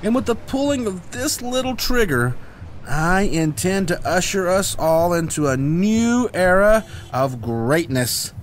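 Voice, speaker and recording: Comic radio villain male actor: pompous, bumbling gang boss, higher register, lively swoops, noisy recording. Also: unhurried. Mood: grandiose, eager. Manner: pompous speechifying. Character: bumbling, grandiose schemer leading a gang of misfits. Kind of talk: comedy radio drama acting.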